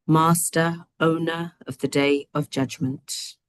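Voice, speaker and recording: Low-voiced woman hosting an online lesson. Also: steady tone, slow pace, dry recording. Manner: gentle, chatty, many fillers. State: slightly croaky voice. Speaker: host. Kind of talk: online study session, translating and explaining. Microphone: video call.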